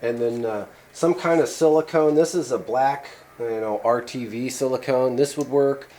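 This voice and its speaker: A man's voice: mid-range voice, moderate pace, warm tone, slight background noise. A man in a home workshop giving a rambling, casual how-to talk with many fillers. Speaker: channel host demonstrating a repair.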